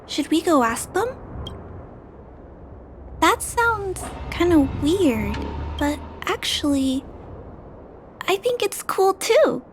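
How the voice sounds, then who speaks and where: A woman voicing a gentle, polite little girl in a video game, earnest and careful as she plays detective. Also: very high-pitched, sing-song, takes it slowly, long pauses, soft background music, full character voice.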